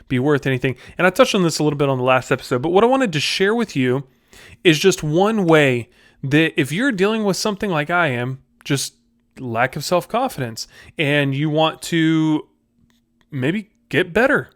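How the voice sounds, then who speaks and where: A man's voice: fairly high pitch, fairly bright tone, dry recording, studio quality. A man hosting a podcast, giving a casual motivational talk straight to the viewer in an informal, direct, confiding way. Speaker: podcast host.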